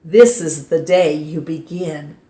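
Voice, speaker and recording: A woman reading a picture book aloud at storytime in a gentle, expressive way. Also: sits low, slow. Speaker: storytime reader. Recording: roomy echo.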